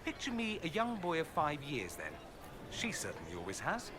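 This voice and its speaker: Witty, theatrical young nobleman mage, lively swooping voice. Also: on the high side, moderate pace, noisy background. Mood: wry, teasing. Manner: theatrical, teasing, arch and ironic. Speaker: companion character in a fantasy role-playing game. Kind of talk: video game character dialogue. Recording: music under the voice.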